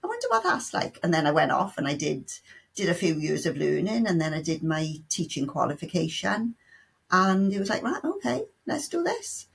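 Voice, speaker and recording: A woman's voice: lowish voice, wildly swooping pitch, moderate pace, gravelly, breathy edge. A middle-aged woman with a South Welsh accent, a sign language tutor answering interview questions in a chatty way, full of restarts and playful asides. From South Wales.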